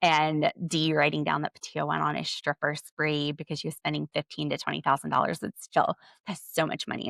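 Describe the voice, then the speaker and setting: A woman hosting a podcast alone, recapping a reality show in a chatty, sarcastic way with jokey asides and wide swings in pitch and pace. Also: vocal fry, fairly bright tone, dry recording. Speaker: podcast host. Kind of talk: solo recap commentary.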